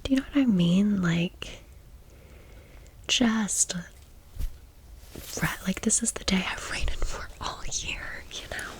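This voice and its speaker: A woman's voice: medium pitch, slow pace, pauses often. An American woman in her thirties whispering a personal story as an ASMR host, chatty and confiding, drifting into asides.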